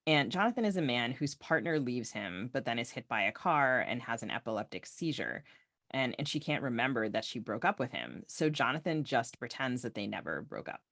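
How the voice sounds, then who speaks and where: A woman's voice: rumbling low, very animated, fairly quick, fairly bright tone, no room sound, studio quality. A woman hosting a podcast, interviewing a guest about books in a conversational way. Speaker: podcast host.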